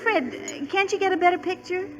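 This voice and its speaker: A woman's voice: very high voice, normal speed, slight background noise. An actress playing a fussy, insistent middle-aged American wife and mother in a 1940s radio sitcom. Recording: muffled old radio recording with music.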